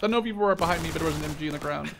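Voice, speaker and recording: A man in his twenties chatting casually with a friend while gaming, excitable and rambling, with some swearing. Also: fairly high pitch, rapid-fire, vocal fry, a little hiss or hum. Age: 20s. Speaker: gamer commentating while playing. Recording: gunfire and clicks behind the voice. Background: video game gunfire, explosions, clicks.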